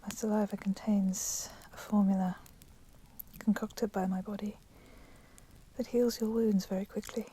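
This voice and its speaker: A woman voicing a proud, resentful female vampire in a whispered ASMR roleplay, speaking softly and deliberately in formal, menacing phrases. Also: medium pitch, little pitch movement. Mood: coolly teasing. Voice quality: soft, breathy whispering. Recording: constant crackling fire noise behind voice. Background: crackling fire.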